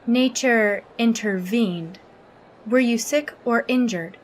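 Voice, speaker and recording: A woman playing a literal, humorless alien Starfleet officer in an audio drama, speaking formally and exactly with a deadpan manner. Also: a little high, takes it slowly, low-quality recording. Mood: cool, probing. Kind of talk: scripted audio drama log and interview.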